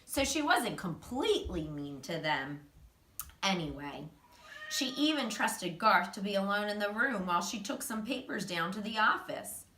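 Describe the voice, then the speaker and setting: A woman's voice: medium pitch, expressive, moderate pace, sharp tone. Woman reading a storybook, roomy echo. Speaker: teacher reading a children's chapter book aloud. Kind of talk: expressive storybook narration. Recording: roomy echo, distant microphone.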